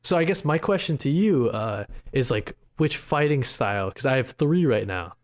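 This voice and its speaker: A man with a medium-pitched voice co-hosts a podcast. His talk about video games is casual and slangy, full of bro-style phrasing and loose swearing. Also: expressive, moderate pace. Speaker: podcast co-host.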